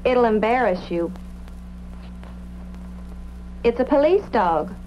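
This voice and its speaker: Actress as chatty, hospitable witness: slightly high voice, plain-spoken, muffled old-time radio sound. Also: female voice, expressive, normal speed, lightly acted. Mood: earnest, chatty. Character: polite, talkative female witness, mildly wry. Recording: muffled, faintly noisy old radio recording.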